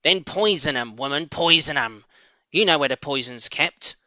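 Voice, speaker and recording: A man's voice: a little high, animated, moderate pace, bright, forceful tone, no echo. A man voicing an impatient, bossy farmer's wife in a storybook, calling out brusque orders.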